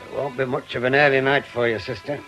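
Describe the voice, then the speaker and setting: A British actor playing a fearful, stubborn, obsessive writer in a radio drama, jittery and urgent. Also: male voice, mid-range voice, little pitch movement, fast talker, a bit bright, faint music behind, natural voice, low-quality recording. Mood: wary, stubborn. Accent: British English.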